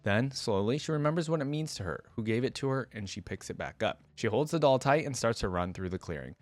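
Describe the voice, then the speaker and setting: A man's voice: medium pitch, lively intonation, fast, dry recording, faint music behind. A young man hosting a reaction video, reading story text aloud and commenting in an informal, musing way.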